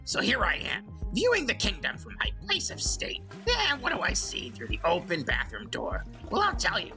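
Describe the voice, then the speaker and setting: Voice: medium pitch, wildly swooping pitch, normal speed, sharp tone, soft background music, exaggerated voice. An actor voicing a sly, crude male goblin who hosts a comedic radio call-in show, smirking and rambling. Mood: boastful storytelling. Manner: smirking, self-justifying, rambling with drawn hesitations. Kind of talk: comedic in-character monologue and banter.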